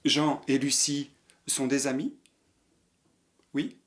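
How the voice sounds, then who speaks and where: A man's voice: mid-range voice, little pitch movement, slow, fairly bright tone, a little hiss or hum. A man teaching a language lesson, patiently repeating each example sentence for learners to copy. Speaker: French teacher. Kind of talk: slow French lesson for learners.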